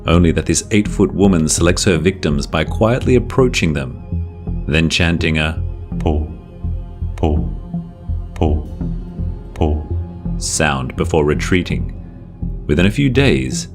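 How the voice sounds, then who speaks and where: A solemn old grandfather, acted by a male narrator in a scary folktale. He speaks gravely and deliberately in a low voice, warning of danger over faint music. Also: expressive, full character voice.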